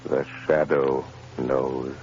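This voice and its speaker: An actor playing a commanding, ominous invisible avenger in old radio drama style. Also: male voice, rumbling low, flat delivery, drawn-out words, a little mellow, heavily acted. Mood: commanding, urgent. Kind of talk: 1930s radio acting. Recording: muffled, noisy old radio recording, hum.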